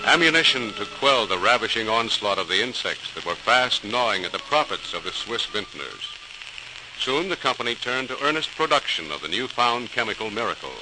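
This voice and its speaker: A man's voice: lowish voice, sing-song, brisk. A man narrating a scripted 1940s radio programme as announcer, in a formal, dramatic, declamatory style. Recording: noisy old radio transcription recording.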